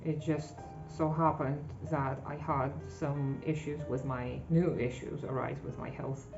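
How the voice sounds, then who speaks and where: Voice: mid-range voice, steady tone, unhurried, creaky. Frank vlogger over faint music. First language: Russian. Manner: frank, self-deprecating, with short laughs. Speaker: channel host. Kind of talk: personal vlog monologue. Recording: dull lo-fi audio with faint music.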